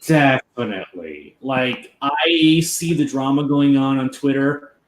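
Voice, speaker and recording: A man's voice: medium pitch, takes it slowly. A man hosting a casual livestream chat, talking informally with lots of restarts and fillers. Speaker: host. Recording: roomy echo, faint noise.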